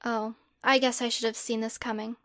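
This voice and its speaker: A woman's voice: fairly high pitch, level tone, fairly quick, dry recording, close to an everyday voice. A woman voicing a sarcastic, exasperated schoolgirl who gives dry, incredulous retorts.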